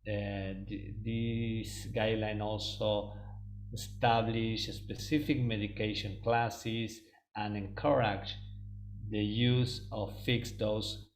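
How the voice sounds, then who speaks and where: A man's voice: on the low side, level tone, slow, low-quality recording. A man from Argentina, a native Spanish speaker with an Argentine Spanish accent, giving a webinar presentation over a video call and often hesitating with ums and restarts.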